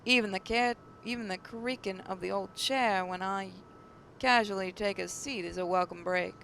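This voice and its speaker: A woman's voice: medium pitch, normal speed, gravelly, fairly bright tone, a little hiss or hum. A woman reading a story aloud as dramatic narration, occasionally stumbling over the words. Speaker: gaming channel narrator reading a visual novel aloud.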